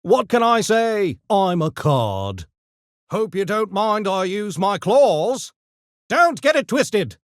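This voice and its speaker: A man's voice: high-pitched, sing-song, slow, no echo, clean studio sound, full character voice. A man voicing an urbane, mischievous gremlin for a video game, with posh, pompous, theatrical and mock-polite diction. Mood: smug, urbane. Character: urbane genteel mischievous gremlin, a genetically engineered intellectual creature. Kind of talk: video game character voice lines.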